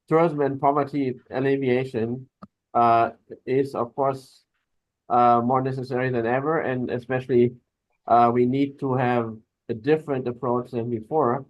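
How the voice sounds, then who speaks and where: A man's voice: medium pitch, steady tone, a little slow, dry recording. Hesitant man on a dull call. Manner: hesitant, many "uh" fillers. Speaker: invited speaker. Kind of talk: online presentation. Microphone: video call. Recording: dull, dry video-call audio.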